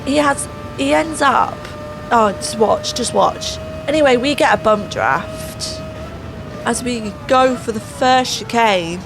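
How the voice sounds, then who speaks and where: A woman's voice: a little high, slow, noisy background. A woman giving sarcastic, exasperated voice-over commentary on a racing game while losing her voice. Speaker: gaming channel host. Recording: voice over clear background music.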